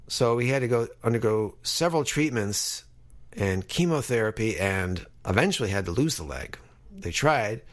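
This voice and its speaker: A man of fifty hosting a podcast in a casual, conversational style, talking directly to his listeners as he introduces things. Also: medium pitch. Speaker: podcast host.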